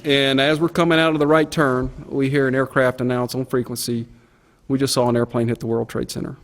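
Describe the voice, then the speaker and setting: A man's voice: mid-range voice, flat delivery, fairly quick. A middle-aged man, a pilot, recounting an experience informally in a plain-spoken, vivid way. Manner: plain-spoken, vivid, acts out quoted radio calls. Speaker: airline pilot giving a recollection. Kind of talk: informal spoken recollection of an event.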